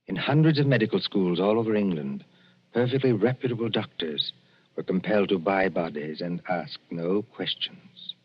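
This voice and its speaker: A man's voice: sits low, breathy edge. A man narrating a suspense radio show in an ominous, dramatic, scripted 1940s style. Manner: ominous, deliberate storytelling. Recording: muffled vintage radio recording with music.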